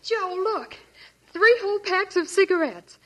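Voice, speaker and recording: High-pitched eager 1940s shopper. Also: female voice, animated, unhurried, rough recording. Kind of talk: 1940s radio commercial acting. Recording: old radio recording with faint noise.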